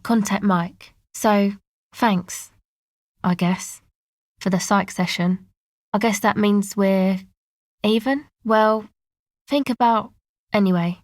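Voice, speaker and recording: A woman playing a laid-back, sardonic young woman in a video game, speaking in a casual, slangy, deadpan way. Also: medium pitch, takes it slowly, long pauses, no echo, clean studio sound, close to an everyday voice. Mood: sardonic, relaxed. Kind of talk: video game character dialogue.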